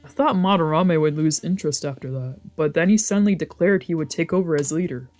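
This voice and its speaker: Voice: medium pitch, a little fast, a little mellow, soft background music. A streamer casually narrating and commenting on a visual novel, swearing and reacting freely between lines read aloud. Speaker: streamer doing a let's play.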